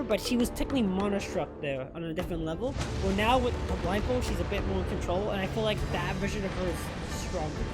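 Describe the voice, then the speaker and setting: Casual, slangy female game streamer over music. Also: medium pitch. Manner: slangy, blunt, reacting offhand. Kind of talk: casual playthrough commentary. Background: video game music.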